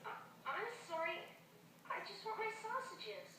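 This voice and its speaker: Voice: very high voice, lively intonation, a little slow, background noise. An actor voicing an innocent, timid little kitten in a storybook dramatization. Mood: sheepish. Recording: echoey distant playback with music behind.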